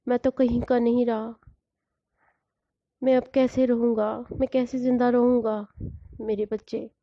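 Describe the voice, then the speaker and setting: A woman's voice: fairly high pitch, no room sound. A woman voicing a devastated middle-aged father crying out in despair, in a slightly dull, lo-fi recording.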